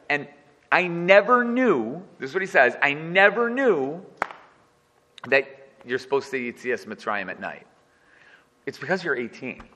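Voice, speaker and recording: A man's voice: mid-range voice, bright, forceful tone, long reverb tail. A man giving an informal, joking Torah class and putting questions to his students. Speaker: Torah teacher.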